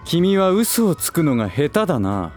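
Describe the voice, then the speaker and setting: A smug nobleman of a businessman, speaks in a fairly high male voice with very animated swings. He is condescending and teasing, with languid lordly phrasing, in a voice drama with faint music behind. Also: moderate pace. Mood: needling. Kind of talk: Japanese voice drama acting.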